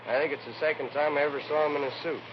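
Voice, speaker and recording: A man's voice: fairly high pitch, steady tone, rapid-fire, natural voice. An actor playing a plain, talkative but cagey working-man shop owner in a 1950s radio drama, speaking in casual clipped sentences. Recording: noisy, dull vintage radio recording.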